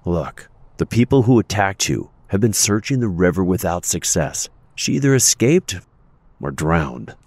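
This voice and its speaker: An actor playing a principled, earnest man with a husky voice in an audio drama. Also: low-pitched, sing-song, a little slow, a little mellow, dry recording, full character voice. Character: principled, earnest adult male inventor, indignant yet caring.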